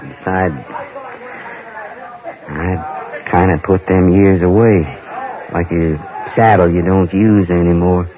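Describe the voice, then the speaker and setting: A man playing a brooding, weary American ex-raider cowboy in a radio western, halting and rambling in a folksy drawl. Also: low-pitched, steady tone, a faint crowd. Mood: somber, resigned. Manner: halting, rambling, plain-spoken. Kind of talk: old-time radio western acting. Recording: dull vintage radio recording.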